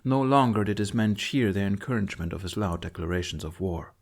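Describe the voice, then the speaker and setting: Low-voiced man narrating dramatic fiction. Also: steady tone, a little fast. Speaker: audiobook narrator.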